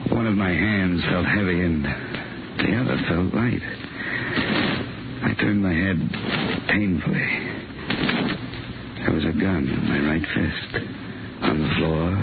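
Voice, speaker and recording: Deep-voiced hardboiled private eye. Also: male voice, gravelly, very noisy, exaggerated voice. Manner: terse, deadpan. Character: world-weary hardboiled male private investigator. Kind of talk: 1950s radio detective narration. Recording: muffled old radio recording with music.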